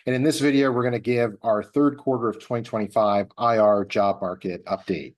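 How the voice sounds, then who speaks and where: A man hosting a market update, presenting data in a measured, analyst-like business delivery that stresses the figures. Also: lowish voice, steady tone, darkish tone. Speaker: host.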